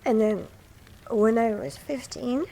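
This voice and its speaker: A woman's voice: fairly high pitch, fairly even intonation, slow pace, creaky, mellow, heavily acted. A woman playing a desperate but defiant young captive in a radio drama, pleading and melodramatic. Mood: confessing, wounded. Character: desperate, defiant young servant-born adventuress held captive.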